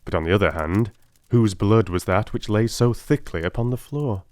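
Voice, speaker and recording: A man narrating an audiobook in the first person, with a measured, grave and theatrical delivery. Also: lowish voice, a little mellow, dry recording.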